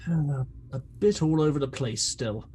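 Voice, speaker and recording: A man playing a dry, befuddled gentleman detective in a comic radio drama, hesitant and theatrical. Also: fairly high pitch, normal speed, a little hiss or hum, natural voice. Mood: dry, muddled.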